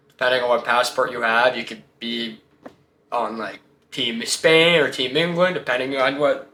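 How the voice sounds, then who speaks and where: Man, sports show host, sharp bright tone, slangy, opinionated, rhetorical questions, noticeably echoey recording. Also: medium pitch. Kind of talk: casual, opinionated sports commentary.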